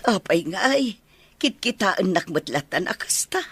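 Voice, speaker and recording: A woman's voice: mid-range voice, very animated, unhurried, fairly bright tone, husky, heavily acted. A woman playing a caring, fretful older mother in an Ilocano radio drama, with an Ilocano accent. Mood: gentle, reassuring.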